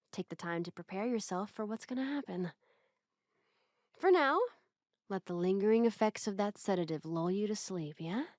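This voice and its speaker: Soft, mellow yandere woman, acted out by a woman. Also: medium pitch, dry recording, clean studio sound, close to an everyday voice. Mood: softly menacing. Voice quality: soft, breathy, slipping into whispers. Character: obsessive yandere woman, sweetly doting, softly menacing captor. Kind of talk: ASMR roleplay.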